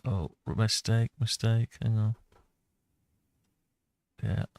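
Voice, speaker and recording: A man with a fairly low, flat voice thinks aloud through an on-screen design tutorial. He talks very slowly, muttering his way from one step to the next in a casual, unscripted way. The sound is dry and close. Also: creaky, no room sound. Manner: muttering to himself, talking through each step. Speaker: host of a CAD design tutorial.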